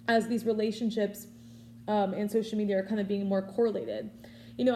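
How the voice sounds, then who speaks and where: A woman's voice: medium pitch, mellow, a little hiss or hum. A woman in her early twenties giving an informal prepared speech, offering earnest advice in a conversational way. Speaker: student public speaker.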